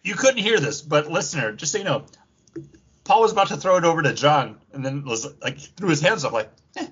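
Animated, husky-voiced man on a podcast. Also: on the high side, a little fast, dull audio. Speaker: podcast host. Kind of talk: casual podcast chat.

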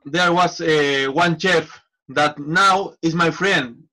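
A man, a football coach, talking in a casual interview in halting non-native English with many restarts. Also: on the high side, sharp tone.

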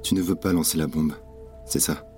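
An actor playing a steady, caring leader of a revolutionary cell in an audio drama, measured and reassuring. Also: male voice, a bass voice, soft background music. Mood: probing, calm. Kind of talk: French audio drama acting.

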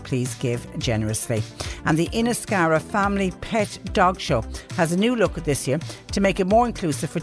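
A woman with a Cork Irish accent presenting local radio, reading out notices and listener messages. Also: low voice. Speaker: radio host.

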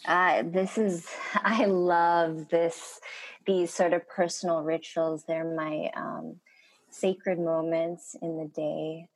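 A woman whose first language is Korean, a skincare maker speaking as a guest in an interview, soft-spoken and hesitant, with many pauses. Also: lowish voice, little pitch movement, rough recording. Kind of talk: reflective interview talk.

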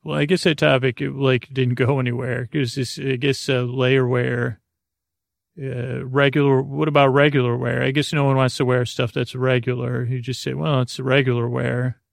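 Male sleep-podcast host, gravelly and monotone, hesitant meandering delivery, dry close mic. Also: medium pitch, dry recording. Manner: meandering, hesitant, deliberately soothing. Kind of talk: rambling bedtime monologue.

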